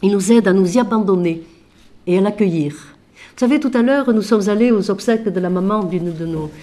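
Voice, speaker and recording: A woman, a Franciscan sister and author, talks with feeling about her book, swinging from emphatic questions to quiet asides while she has a cold. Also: medium pitch, a little fast. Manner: expressive, shifting from loud emphasis to quiet asides; asks rhetorical questions.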